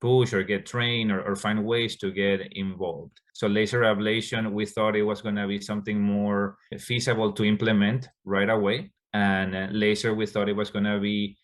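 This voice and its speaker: A man from Ecuador, a Spanish speaker, answering interview questions as a doctor, halting with many fillers and restarts. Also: fairly low pitch, little pitch movement. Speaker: endocrinologist guest.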